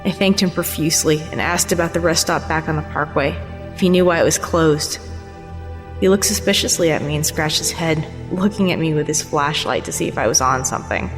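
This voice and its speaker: A woman's voice: deep voice, a little fast. A woman reading a scripted first-person horror story as its narrator. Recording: long reverb, clear music behind. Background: music.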